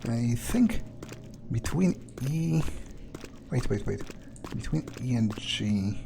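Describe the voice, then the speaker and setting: Slow-talking man muttering in character. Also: mid-range voice, animated, gravelly. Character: jaded, cocky vampire outcast, musing to himself. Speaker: video game player speaking in character. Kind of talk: in-character playthrough monologue, thinking aloud.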